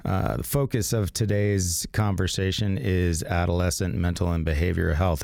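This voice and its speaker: A man hosting a radio call-in health show, his voice raspy from a sinus infection, speaking in a relaxed way and reading phone numbers slowly. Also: sits low, no room sound, studio quality. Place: radio studio.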